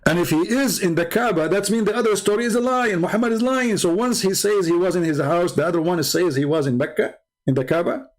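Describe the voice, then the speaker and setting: A man's voice: high-pitched, fast talker. A man with an Arabic accent, a native Arabic speaker, giving informal, argumentative religious commentary in a mocking, incredulous manner full of rhetorical questions. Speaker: host of a religious commentary show. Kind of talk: informal polemical commentary on read-out texts.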